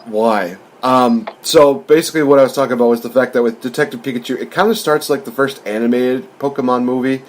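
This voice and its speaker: A man's voice: medium pitch, a little hiss or hum. A man hosting a podcast, talking through a film review in an informal, chatty, rambling way. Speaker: podcast host.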